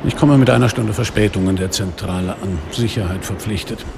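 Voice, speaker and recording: An actor playing a weary, sardonic middle-aged police inspector in a noir radio drama, speaking drily and broodingly. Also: male voice, fairly low pitch, a little fast, gravelly, noisy background. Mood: bitter, wry. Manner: dry, sarcastic, brooding. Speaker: actor, first-person narrator in a radio play. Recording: studio radio drama with music underneath.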